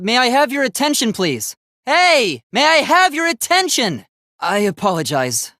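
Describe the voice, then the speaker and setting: A man's voice: fairly high pitch, no room sound, studio quality. A man voicing an earnest, polite young robot student in a video game, with formal, precise, logical speech that gets easily flustered. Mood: urgent, insistent, then apologetic.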